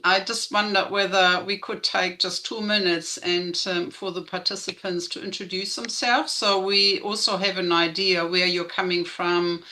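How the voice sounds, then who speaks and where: A woman's voice: lowish voice, bright, forceful tone, rough recording. A woman speaking casually as a co-organiser of an online meeting. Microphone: video call.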